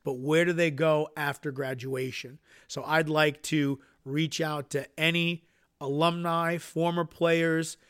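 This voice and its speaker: A man's voice: fairly high pitch, level tone, slow pace, a bit bright, smooth, dry recording, clean studio sound. A man hosting a solo podcast, earnest and dotted with uhs, sounding nasal from a cold. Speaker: podcast host.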